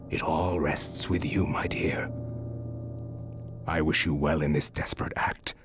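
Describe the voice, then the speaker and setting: Game narration: low, weary scholarly old man, solemn and resigned, some noise behind. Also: level tone, a little slow. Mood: grave, resigned. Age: older. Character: weary, grave old grandfather, scholarly and doomed. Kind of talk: video game letter narration.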